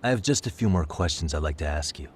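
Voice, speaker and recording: An actor playing a composed, persistent private detective, calmly and politely probing in a video game cutscene. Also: male voice, very deep voice, slight background noise. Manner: calm, measured, politely probing questioning. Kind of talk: English-dubbed video game cutscene acting.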